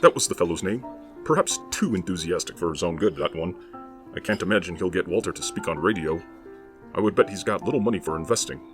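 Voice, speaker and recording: Mr. Davis, a man playing a courtly, deep-voiced 1920s gentleman. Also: lively intonation, fast talker. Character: genteel, long-winded 1920s gentleman host. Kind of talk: 1920s period audio drama. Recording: music playing behind.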